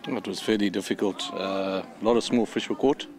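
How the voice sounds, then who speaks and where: A man's voice: fairly low pitch, steady tone, moderate pace, over music, a crowd in the background. A man giving a casual, hesitant and downbeat interview as a competitor.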